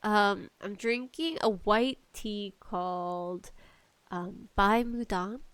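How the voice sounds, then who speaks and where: A woman chatting casually as a solo podcast host, nervous, halting and apologetic, with allergies bothering her. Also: medium pitch, a bit bright, clear, resonant tone, dry recording. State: allergies acting up. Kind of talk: casual solo podcast chat about games and tea.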